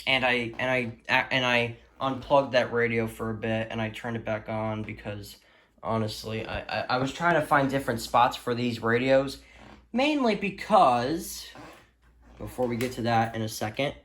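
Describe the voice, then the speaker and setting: A man's voice: medium pitch, moderate pace, a bit bright. A man in a casual, unscripted hobby vlog, rambling and stammering as he rants, stretching out words for emphasis. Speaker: hobbyist video creator showing a radio collection.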